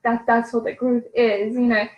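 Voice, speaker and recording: A woman's voice: a little high, level tone, moderate pace, roomy sound, muffled recording. A young woman whose first language is Polish, chatting casually in an interview with frequent laughs and self-deprecating remarks.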